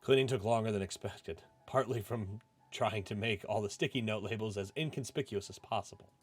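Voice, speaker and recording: A man with a fairly low voice narrates a first-person story from a visual novel. He reads it aloud as the host, with music playing behind him. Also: brisk, husky.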